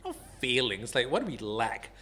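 A man's voice: mid-range voice, huge pitch swings, normal speed, sharp tone. A man from Malaysia hosting a casual, conversational interview. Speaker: interviewer, host.

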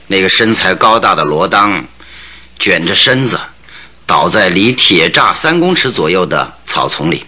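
A confident detective in a radio drama, lowish forceful voice, really swingy, teasing and emphatic. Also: male voice, very animated, unhurried, heavily acted. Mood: grave, deliberate. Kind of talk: radio drama acting, storytelling dialogue.

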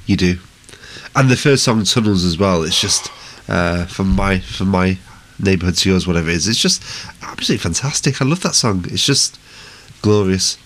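A low-voiced man co-hosts a casual music podcast. He sounds snotty with a head cold and talks in an effusive, enthusiastic way. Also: animated, moderate pace, a bit bright. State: congested with a cold. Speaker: podcast co-host.

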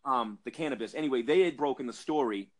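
A man's voice: mid-range voice, fast. A man from Massachusetts with a Boston accent, telling an industry story in a casual podcast chat with lots of fillers and restarts. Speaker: cannabis industry guest.

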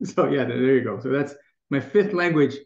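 A man's voice: mid-range voice, normal speed, gravelly. Middle-aged guy from taiwan chatting in an interview, thoughtful, laughs a lot, roomy muffled sound. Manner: thoughtful, many restarts, laughs easily. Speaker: guest. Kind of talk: casual interview talk. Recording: echoey room, dull lo-fi sound.

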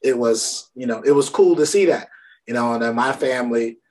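A man in his thirties from Brooklyn hosting an interview, speaking in a relaxed, easygoing way and often adding "you know" and "right?". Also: medium pitch, moderate pace, low-quality recording. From Brooklyn, New York. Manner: laid-back, frequent "you know" and "right?". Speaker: podcast host. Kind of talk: casual interview chat.

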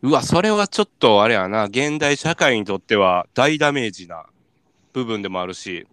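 A man with a Kansai accent co-hosts a casual talk show. His medium-pitched voice moves animatedly as he banters and throws in agreeing interjections. The recording is dry, with hardly any room on it. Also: animated, moderate pace, fairly bright tone, clear, resonant tone, no room sound. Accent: Kansai Japanese. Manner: informal, frequent agreeing interjections. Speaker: radio talk show co-host.

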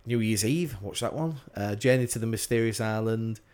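A man's voice: fairly low pitch, normal speed, a little mellow. A man in his thirties co-hosting a podcast, talking in an easygoing, rambling way with fillers. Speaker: podcast co-host. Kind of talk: casual chat.

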